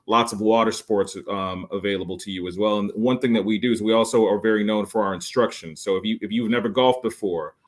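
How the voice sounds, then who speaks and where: A man in his late forties, a resort sales guest on a podcast, giving an enthusiastic pitch full of fillers and restarts. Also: steady tone, quick pace, hardly any pauses. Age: 40s. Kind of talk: podcast interview.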